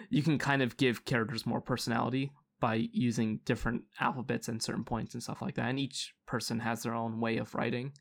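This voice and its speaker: Gravelly man chatting with lots of fillers. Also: mid-range voice, fairly even intonation, moderate pace. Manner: hesitant, many fillers and restarts. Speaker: podcast co-host. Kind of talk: casual chat.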